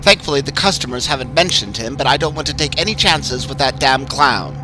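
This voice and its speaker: A man's voice: mid-range voice, a little fast, over music, pitched above the performer's own voice, natural voice, rough recording. A man doing a jittery haunted house owner. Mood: worried. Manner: nervous, rattled, insistent. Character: frightened middle-aged male haunted house owner.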